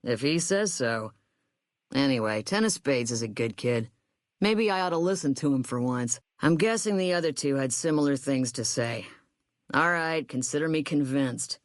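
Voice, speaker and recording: A woman's voice: a bass voice, animated, normal speed, no room sound, clean studio sound. A woman voicing a brash, hard-bitten female army sniper corporal in a video game, with a blunt, sardonic, tough delivery. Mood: grudging, resigned.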